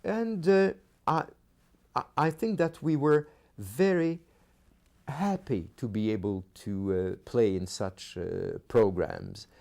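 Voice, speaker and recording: An older man reflecting in an interview as a veteran performer, halting and measured, with formal wording. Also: medium pitch, gravelly, darkish tone.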